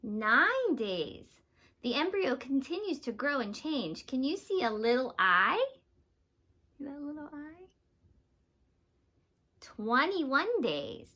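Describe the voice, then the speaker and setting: A woman's voice: high voice, sing-song, slow, long pauses, sharp tone. A woman teacher giving a read-aloud lesson to young children, explaining simply and asking them questions. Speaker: teacher reading aloud to students.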